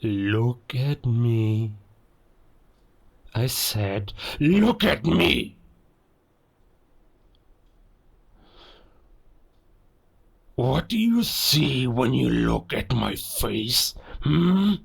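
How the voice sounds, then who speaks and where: An actor playing a proud, menacing werewolf villain in a cinematic audio roleplay, speaking deliberately as a gloating king of beasts. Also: mid-range voice, sing-song, vocal fry, slightly rough, exaggerated voice. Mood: snarling anger. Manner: slow, deliberate, menacing, grandiose.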